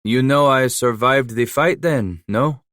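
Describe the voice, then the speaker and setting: A hulking, scarred frontier killer, as a male narrator puts him on, mangling his English with a mocking, menacing edge. His voice sits higher and louder than the narrator's own, and the sound is close and dry. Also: unhurried, dry recording, lightly acted. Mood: taunting. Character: giant scarred French-Canadian frontier killer, cunning, playful.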